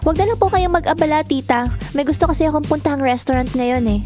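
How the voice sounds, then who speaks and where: A woman plays a Filipino schoolgirl in an audio drama. Her voice is high and youthful, courteous on top with a bitter, resentful streak underneath. A light music bed runs behind her. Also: quick pace, darkish tone, natural voice. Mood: guarded, polite. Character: resentful schoolgirl daughter, polite outwardly, bitter inside.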